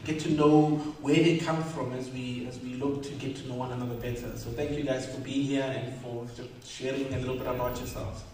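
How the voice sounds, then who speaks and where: A man's voice: mid-range voice, level tone, fairly quick, hardly any pauses, a little mellow. A man moderating a church panel discussion, addressing the congregation and posing questions in a friendly way. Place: church hall. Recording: roomy distant mic with faint noise.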